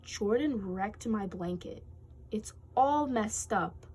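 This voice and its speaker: A woman's voice: mid-range voice, expressive, slow pace, darkish tone, husky. Woman acting a shrieking, indignant little girl. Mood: upset. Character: furious little girl, loud screaming storybook child.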